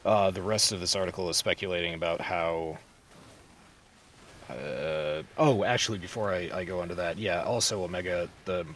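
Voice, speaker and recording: Very deep-voiced man talking casually. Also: normal speed, fairly bright tone, a little hiss or hum. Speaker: podcast host. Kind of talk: casual news discussion.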